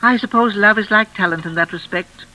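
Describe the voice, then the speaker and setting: Bright, sharp-voiced elderly lady, played by an actress. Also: medium pitch, a little fast, lightly acted. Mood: wistful, grieving. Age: older. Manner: theatrical, formal, intense. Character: elderly, genteel, grieving, ominous widowed lady of the house. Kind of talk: 1940s radio acting. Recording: vintage radio recording with frying crackle.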